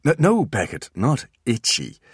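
A man's voice: mid-range voice, wildly swooping pitch, a little slow, breathy edge, no echo, studio quality, pitched above the performer's own voice, exaggerated voice. A man doing a precise, lecturing teenage genius. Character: clever teenage boy, fussy genius big brother.

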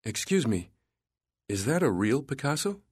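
A man's voice: medium pitch, sing-song, normal speed, breathy edge, dry recording, studio quality, pitched above the performer's own voice. A man voicing a polite visiting government official in a plain, everyday voice.

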